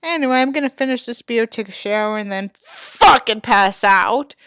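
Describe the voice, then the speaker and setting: A woman's voice: on the high side, normal speed, fairly bright tone, clean tone, no room sound. A woman hosting a book reading with casual, sweary commentary and crude, sardonic asides. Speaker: host of a dramatic book reading.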